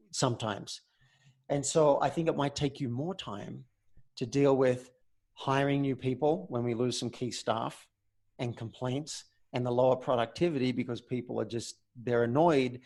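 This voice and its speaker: A man speaking as a coach in a conversational roleplay, measured and deliberate, often pausing mid-phrase. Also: medium pitch, darkish tone. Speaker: host, communication coach.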